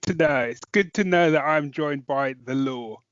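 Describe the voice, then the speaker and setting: A man's voice: on the high side, normal speed, dry recording, highs cut off. A middle-aged British man co-hosting a podcast review in an informal, rambling, conversational way. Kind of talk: casual chat podcast review.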